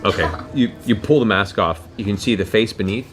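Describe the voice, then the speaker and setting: A man's voice: on the low side, a little fast. A man narrating a tabletop fantasy game as game master, with vivid descriptions and casual asides. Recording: loud music behind the voice.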